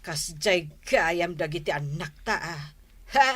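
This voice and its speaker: Fussy old Ilocano mother-in-law, unhurried. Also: fairly low pitch. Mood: nagging, sulky. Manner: exclamatory, drawn-out interjections. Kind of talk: Ilocano radio comedy-drama acting.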